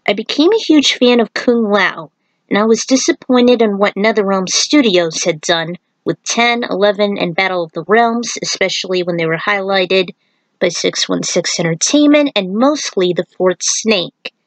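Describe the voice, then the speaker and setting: A woman's voice: medium pitch, normal speed, no echo, poor audio. A woman hosting a fan fiction podcast, introducing it in a chatty, fannish way. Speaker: podfic creator and host. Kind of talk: podcast introduction, partly read author's note.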